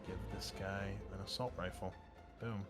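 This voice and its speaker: A man's voice: low voice, steady tone, moderate pace. A man running a tabletop game as game master, narrating informally with wry asides and casual swearing. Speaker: game master and host of an actual-play show. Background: background music.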